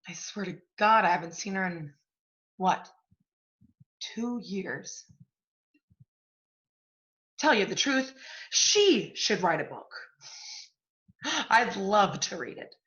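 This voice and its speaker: An actress playing a brash, hard-drinking, sardonic literary agent in a stage play. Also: female voice, mid-range voice, a bit bright, lo-fi sound. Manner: sardonic, blunt, profane.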